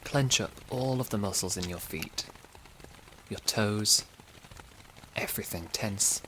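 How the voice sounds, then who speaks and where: A man hosting a guided sleep meditation podcast, giving soothing, gentle, unhurried instructions. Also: on the low side, a little hiss or hum, low-quality recording.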